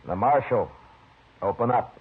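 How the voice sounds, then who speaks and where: An actor playing a stern, world-weary Old West marshal in a 1950s radio drama, terse, deliberate and authoritative. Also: male voice, medium pitch, breathy edge. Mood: stern, accusing. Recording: muffled 1950s radio recording with music.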